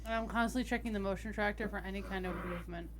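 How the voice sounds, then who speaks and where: A woman's voice: medium pitch, steady tone, racing. A woman playing a tabletop game, casually narrating and speaking for her character. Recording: faint background noise.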